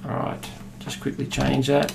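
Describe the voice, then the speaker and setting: An Australian man in his thirties walks through a software demo at his desk, relaxed and explanatory, pausing on "uh" and "um". His voice sits mid-range and bounces around an echoey office. A faint hum of room ambience hangs behind him. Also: mid-range voice, gravelly. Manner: relaxed, explanatory, with frequent fillers. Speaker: product demonstrator.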